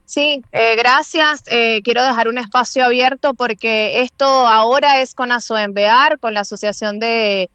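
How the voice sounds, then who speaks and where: A woman's voice: a little high, unhurried, few pauses, pushed and bright, dry recording. A woman with a Venezuelan accent giving earnest, wordy spontaneous answers as a radio interview guest. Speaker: interview guest, donation drive volunteer.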